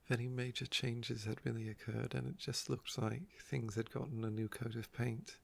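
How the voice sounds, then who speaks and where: A soft-spoken man with a croaky voice, telling stories about his day in an unhurried, wistful ASMR ramble. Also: medium pitch, little pitch movement. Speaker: ASMR video creator.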